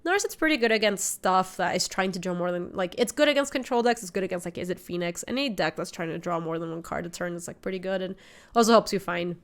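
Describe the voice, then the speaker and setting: A woman's voice: on the low side, fast. A woman in her twenties with a slight cold, casually explaining a card game deck and chatting while she plays. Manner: chatty, explains deck choices, reads chat aloud. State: sniffly from a cold. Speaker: streamer. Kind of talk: casual gameplay commentary. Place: home streaming room.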